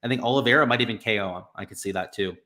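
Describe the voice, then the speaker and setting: A young man with a slightly raspy voice from being sick, casually talking through betting picks on a live stream, thinking aloud. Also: fairly low pitch, rapid-fire, bright, forceful tone. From Florida. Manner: casual, thinking aloud with fillers like "right" and "you know". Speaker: sports betting stream host.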